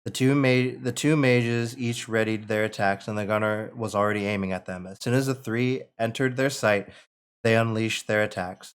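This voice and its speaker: A low-pitched American man runs a script table read. He narrates and talks casually, like a writer sharing his own work with friends. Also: fairly even intonation, normal speed, clean tone. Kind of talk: casual reading of screenplay action and post-read chat.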